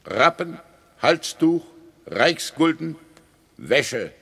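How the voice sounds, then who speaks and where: A man's voice: on the high side, very animated, drawn-out words, creaky, a bit bright, full character voice. A man in a radio play acting a solemn, magnanimous prince who pronounces judgment with stately, ceremonious weight. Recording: long reverberant hall.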